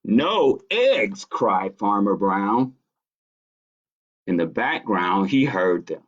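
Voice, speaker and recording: A man reading a children's story aloud as a storytime host, playful and deliberate in his delivery. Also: sits low. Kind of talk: expressive children's picture-book storytelling. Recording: dull lo-fi stream audio.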